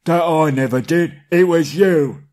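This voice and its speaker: A man voicing a coarse, argumentative troll with drawn-out rustic speech in a storybook reading. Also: fairly high pitch, a little mellow, pitched above the performer's own voice, lightly acted.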